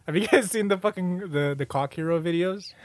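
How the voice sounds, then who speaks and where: A man's voice: high-pitched, fairly quick, dry recording. A young man chatting casually and crudely with friends as a podcast co-host, full of slang like bro and dude.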